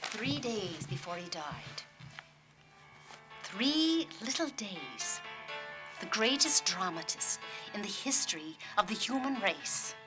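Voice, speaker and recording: A woman's voice: medium pitch, sing-song, slow, music in the background. Woman putting on a theatrical Irish storyteller. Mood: dramatic, teasing. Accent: Irish, put on. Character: Irish woman telling Shakespeare's death as a mystery. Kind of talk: dramatic scripted storytelling.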